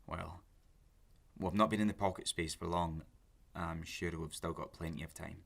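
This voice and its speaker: An actor playing an obsessive, pleading, lonely lovesick man in an audio drama, halting and intense as he justifies himself. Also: low voice, steady tone, vocal fry, a bit bright, breathy edge, exaggerated voice. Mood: subdued, earnest. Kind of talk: naturalistic audio drama acting.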